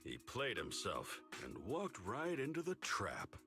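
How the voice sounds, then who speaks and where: An actor voicing a laid-back master figure in a game, calmly explaining what happened. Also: male voice, mid-range voice, a little slow, faint music behind, heavily acted. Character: easygoing older male master, laid-back.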